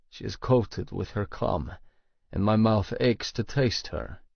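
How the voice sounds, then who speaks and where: A man reads first-person romance fiction in a creaky, gravelly voice. His delivery is slow and deliberate, in a dry, slightly muffled recording. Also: on the low side, no room sound. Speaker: audiobook narrator.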